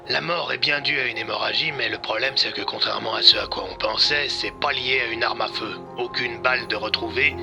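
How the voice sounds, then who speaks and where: A man's voice: medium pitch, creaky. A man playing a blunt, harried police commissioner speaking casually over a phone in an audio drama.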